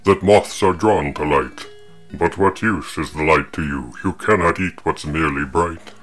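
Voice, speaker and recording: Man voicing a very deep, booming crafty genie, theatrical storybook delivery, faint music under it. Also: normal speed, a bit bright. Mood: hearty, bemused. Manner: grand, theatrical, old-fashioned speech. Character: boastful scheming male genie, grand and crafty.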